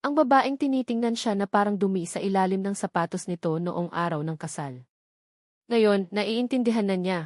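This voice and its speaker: A woman's voice: medium pitch, normal speed, darkish tone, no echo. Woman narrating a dramatic story, dry sound.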